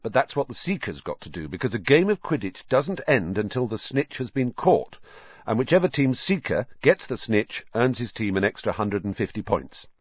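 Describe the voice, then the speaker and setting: A man voicing a tired, patient teenage schoolboy who explains things in a flat, resigned way. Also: mid-range voice, normal speed, breathy edge, no echo. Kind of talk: audiobook character voice. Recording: dull, dry lo-fi audio.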